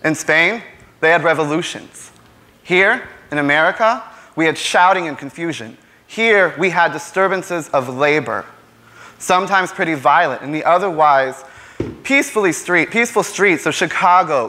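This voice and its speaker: A man's voice: a little high, lively intonation, normal speed, sharp tone, voice pushed up. A man performing a stage monologue as a frustrated, defiant young working-class man, with impassioned, sarcastic delivery. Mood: poetic, measured.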